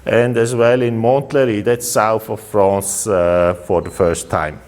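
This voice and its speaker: A man with a Swiss German accent, a custom motorcycle builder giving a casual walkaround of his bikes, relaxed and halting with many fillers. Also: lowish voice, big-room sound.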